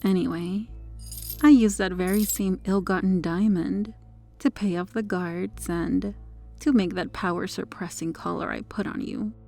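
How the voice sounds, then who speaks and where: A woman's voice: medium pitch, steady tone, unhurried, vocal fry, mellow, soft background music. A woman playing a corrupted, possessive superheroine in an ASMR roleplay, flirtatious, teasing and condescending toward the listener. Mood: smug scheming.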